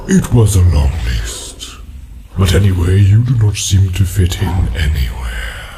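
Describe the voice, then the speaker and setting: A man voicing an ancient, ponderous male tree giant in an audiobook, cautious and deliberate, humming and musing between phrases. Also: low voice, very animated, vocal fry, noisy background, exaggerated voice. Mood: reciting, pondering. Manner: slow, deliberate, drawn-out hums and musing repetitions. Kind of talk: audiobook character acting.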